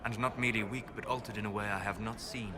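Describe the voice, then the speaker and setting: Casting: actor as calm learned mage in a fantasy game; low, grave, measured, over clear background ambience. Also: male voice, noisy background, natural voice. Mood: pensive.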